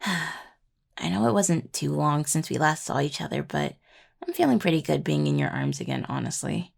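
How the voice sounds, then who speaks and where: A woman playing an affectionate, teasing necromancer in an intimate audio roleplay, talking softly and playfully to a partner, with a husky, gravelly voice. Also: deep voice, sing-song, normal speed, full character voice. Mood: tired, tender. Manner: soft, playful, casual delivery with sighs and murmured asides. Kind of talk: intimate audio roleplay acting, addressed to a listener.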